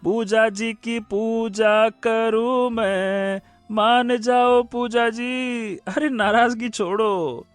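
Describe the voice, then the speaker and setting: An actor playing a playful young man sweetly pleading in a teasing way in an animated story. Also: very high voice, very slow, hardly any pauses, no room sound, faint music behind, full character voice. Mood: coaxing. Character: playful, coaxing young lover, landlord's dutiful son. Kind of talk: animated fairy-tale story acting.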